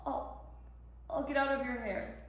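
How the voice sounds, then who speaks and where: Frantic mother played by a woman, stage monologue, high-pitched and emphatic, halting with heavy pauses, in an echoing room. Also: fairly bright tone. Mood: flustered, dejected. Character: frantic mother of a middle schooler, desperate and grieving. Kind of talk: dramatic interpretation monologue. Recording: echoey room, voice ringing off walls.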